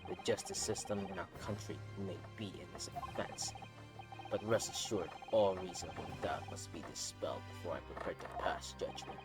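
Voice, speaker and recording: A man reading video game courtroom dialogue aloud in a deliberate manner. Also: sits low. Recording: game music behind voice.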